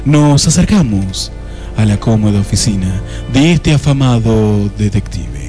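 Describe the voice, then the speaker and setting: A man's voice: lowish voice, lively intonation, measured pace, warm tone, soft background music. Man as mock-solemn Argentine radio play narrator. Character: wry, dramatic male narrator of a detective spoof.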